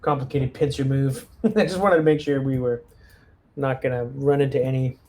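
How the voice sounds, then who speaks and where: Man, mid-pitched, soft mellow tone, casual tabletop player, faint background noise. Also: steady tone, moderate pace. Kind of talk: casual tabletop game discussion.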